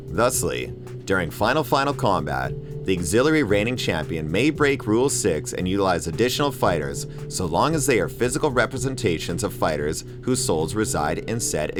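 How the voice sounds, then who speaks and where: Man, deep voice, wry mock-exasperated review host, comedic commentary, music underneath. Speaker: host of a movie review video. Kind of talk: comedic movie commentary, semi-scripted.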